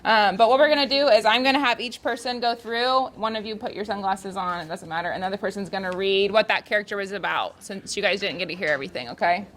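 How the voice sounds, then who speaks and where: A woman's voice: mid-range voice, fast, few pauses, fairly bright tone. Casual woman directing a group activity. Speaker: volunteer trainer leading a group activity. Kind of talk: informal spoken instructions to a group.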